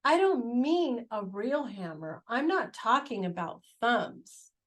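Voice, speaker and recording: A woman's voice: on the high side, lively intonation, slow pace, a bit bright. A woman voicing an earnest, musing male carpenter in a storybook, who is easily exasperated.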